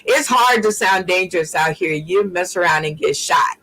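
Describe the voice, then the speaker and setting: A woman hosting a casual talk show, playful and laughing often, with drawn-out phrasing. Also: on the low side, animated, gravelly, sharp tone. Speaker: host. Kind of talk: casual chat.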